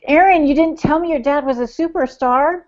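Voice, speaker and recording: A woman's voice: sits high, moderate pace, lo-fi sound. A woman co-hosting a podcast, talking in an informal, chatty way. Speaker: podcast co-host relaying audience chat. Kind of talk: casual podcast chat.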